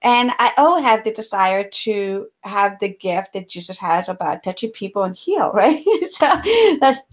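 A woman's voice: medium pitch, animated, normal speed. Woman; podcast host, rambling and filler-heavy. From Ecuador. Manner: searching, rambling, filler-heavy, often laughs. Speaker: host, somatic healer. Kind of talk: conversational podcast interview.

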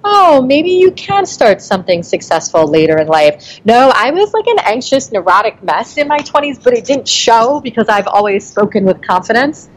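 A woman's voice: a little high, sing-song, moderate pace, hardly any pauses, rough recording. A woman in her forties, a podcast guest, speaking frankly and reflectively, hedging with "I mean" and "like". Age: 40s. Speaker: podcast guest, nonprofit founder. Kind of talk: casual interview chat.

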